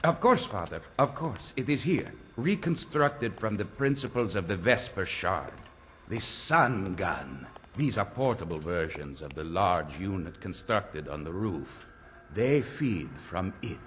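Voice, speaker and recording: Smug game villain inquisitor, theatrical and oily, lowish voice swinging widely. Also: male voice, sing-song, a little slow, a bit bright, a little hiss or hum, heavily acted. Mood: fawning. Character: smug male vampire grand inquisitor.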